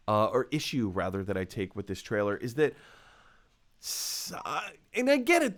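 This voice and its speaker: A man hosting a live discussion show, giving casual, opinionated movie commentary in a conversational way, with emphatic repeats and self-corrections. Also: fairly high pitch.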